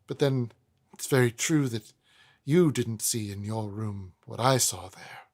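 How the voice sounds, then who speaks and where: A man's voice: mid-range voice, measured pace, a little mellow. A man voicing a timid, nervous middle-aged stationer with a fussy, hesitant manner. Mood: anxious.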